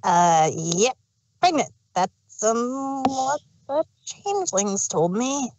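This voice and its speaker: A woman's voice: fairly high pitch, expressive, slow, darkish tone, a little hiss or hum, low-quality recording. A woman voicing a naive, curious and slightly sassy little boy dragon in a fan fiction reading. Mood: puzzled.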